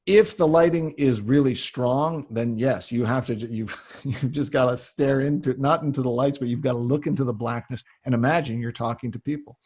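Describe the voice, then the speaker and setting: A Canadian man coaching on public speaking, explaining things in an emphatic, conversational way with a slightly husky voice. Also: mid-range voice, normal speed, a little mellow. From Canada (Ontario). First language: English. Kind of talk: conversational advice answering audience questions.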